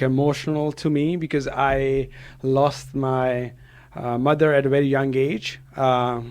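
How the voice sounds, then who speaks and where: A man in his thirties from Pakistan, a doctor guesting on a radio show, speaking in an earnest, halting way with many fillers. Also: medium pitch. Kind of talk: casual interview talk. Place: radio studio.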